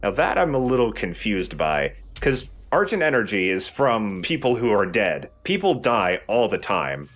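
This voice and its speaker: Guy with a fairly low voice explaining stuff over a game, casual let's play. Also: very animated, normal speed, hardly any pauses, a bit bright.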